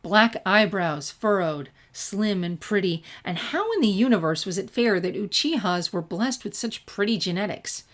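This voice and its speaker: A woman's voice: mid-range voice, lively intonation, normal speed, hardly any pauses. A woman reading a scripted story aloud as an audiobook-style narrator. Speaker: audiobook-style reader of fan fiction.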